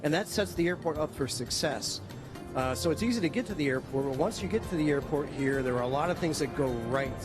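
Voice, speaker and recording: A man with a slightly high voice delivers a congratulatory speech at a ceremony. He talks casually and pauses often with fillers, while music plays clearly behind him. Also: expressive. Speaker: guest speaker presenting an award.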